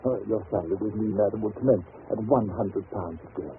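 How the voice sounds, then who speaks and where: A man playing a jovial, wheedling country gentleman in a 1930s radio drama, with florid, stammering, effusive speech. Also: fairly low pitch, fast talker, natural voice. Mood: gushing flattery. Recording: tinny, hissy old 1930s radio recording.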